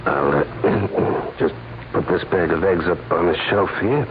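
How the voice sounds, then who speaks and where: An actor playing a sly, flirtatious killer posing as a customer, with hesitant, coaxing small talk in a 1940s radio crime drama. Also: male voice, low voice, unhurried. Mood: casual, guarded. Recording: dull, noisy 1940s radio recording.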